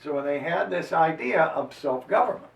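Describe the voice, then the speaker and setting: A man with a high voice reads history aloud as a dramatic performance. His delivery is emphatic and declamatory, with deliberate phrasing. He sounds some way from the microphone, in a roomy, echoing space. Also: lively intonation, creaky. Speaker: reader and lecturer on history. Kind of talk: dramatized reading of a historical chronicle to listeners. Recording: roomy echo, voice distant from microphone.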